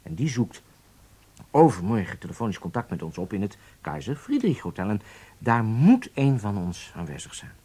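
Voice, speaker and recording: An actor playing a calculating German undercover spy in a Dutch radio drama, explaining things deliberately and intimately to his partner. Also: lowish voice, very animated, mellow, husky. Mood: firm, secretive.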